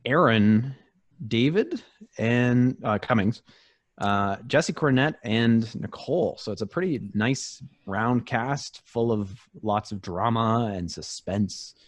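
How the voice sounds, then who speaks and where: Slow-paced man with animated pitch. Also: no echo. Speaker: podcast cast member. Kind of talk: casual joking chat.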